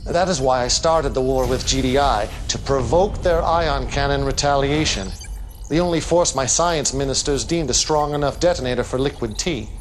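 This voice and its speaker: An actor playing a ruthless, grandiose cult leader in a video game, speaking in a measured, imperious, theatrical manner. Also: male voice, mid-range voice, a little hiss or hum, close to an everyday voice. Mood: calm, grandiose. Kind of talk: dramatic acting in game cutscenes.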